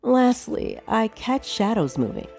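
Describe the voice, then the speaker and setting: Woman doing brisk wizard tower owner, fantasy podcast drama, gravelly fry in the voice, soft music behind. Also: on the high side, sing-song, heavily acted. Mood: brisk, matter-of-fact. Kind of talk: fantasy audio drama acting.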